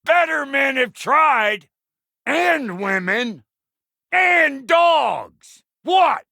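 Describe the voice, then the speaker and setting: A foul-mouthed dwarf blacksmith from a video game, gruff and gravelly with a twang. The voice is forceful and high, swinging widely in pitch. The manner is brash, folksy and combative. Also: male voice, lively intonation, drawn-out words, no echo, clean studio sound, full character voice. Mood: belligerent. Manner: brash, folksy, cussing, barking warnings and taunts. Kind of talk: video game character voice lines.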